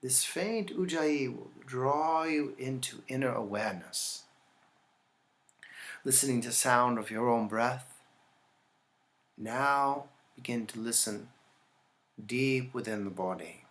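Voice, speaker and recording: Man calmly guiding a relaxation meditation. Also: medium pitch. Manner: calm, instructional, with deliberate pauses. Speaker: yoga nidra teacher guiding a session.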